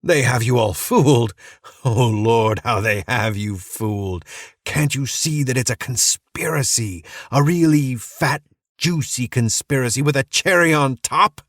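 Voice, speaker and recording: A man's voice: medium pitch, unhurried, a bit bright, no echo, pitched above the performer's own voice. A man putting on a raised, wild-edged voice for a deranged preacher in an audiobook, manic and mocking, sliding from sing-song glee into threat. The delivery is dry and close, with nothing of the room around it. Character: unhinged male cult preacher, gleeful and menacing.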